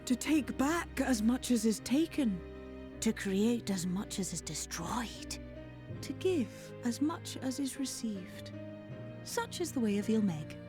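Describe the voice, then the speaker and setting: A capricious female fairy from a fantasy game. Her voice is high and swoops with a playful, aloof lilt. She speaks in formal, archaic phrases over clear music. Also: big pitch swings, slow pace. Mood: solemn. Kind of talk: scripted fantasy game acting.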